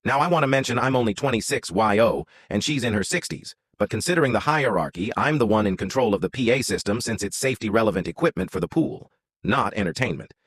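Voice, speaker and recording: A man's voice: fairly low pitch, lively intonation, fast, fairly bright tone, husky, no echo, studio quality. A man reading out an online story as a scripted narration.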